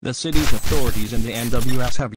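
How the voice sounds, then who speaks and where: A man's voice: mid-range voice, quick pace, noisy background, natural voice. A man reading a scripted, news-style report on severe weather.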